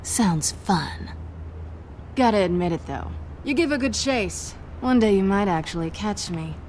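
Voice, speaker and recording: A woman's voice: mid-range voice, lively intonation, measured pace, a little mellow, a little hiss or hum, lightly acted. A woman playing a sly, taunting masked thief in a video game, with a cool, cocky, teasing manner. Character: sly, taunting adult female masked cat burglar.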